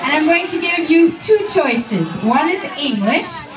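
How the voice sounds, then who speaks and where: A high-voiced woman with a Swiss German accent calls out to a packed concert crowd, her words booming through a hall PA. The sound is captured from far back in a roomy, echoey venue, with a loud roaring audience and heavy noise all around her. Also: big pitch swings, measured pace. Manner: shouting cheerfully to the audience. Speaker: band singer addressing the crowd. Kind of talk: stage banter at a concert. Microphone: stage vocal mic through PA, filmed from the crowd.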